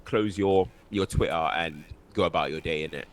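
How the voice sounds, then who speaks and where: Low-voiced man. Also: moderate pace. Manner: emphatic, mocking repeated phrases. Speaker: co-host. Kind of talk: casual football chat.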